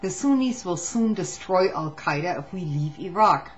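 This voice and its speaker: A woman reading a written political statement aloud in a measured, deliberate and formal manner. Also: on the low side, expressive. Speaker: reader of a testimony. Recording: dull, noisy lo-fi recording.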